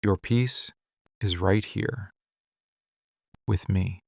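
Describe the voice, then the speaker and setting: A man playing a weary, lovesick, possessive mafia boss in a scripted boyfriend ASMR roleplay, speaking intimately and deliberately in short phrases. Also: low-pitched, steady tone, creaky, dry recording, studio quality, full character voice. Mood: tender, reassuring.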